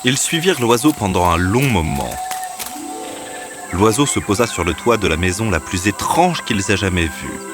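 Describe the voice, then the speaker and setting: A man with a deep voice narrates a scripted children's fairy tale. Background music plays clearly beneath his storytelling. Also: expressive, normal speed.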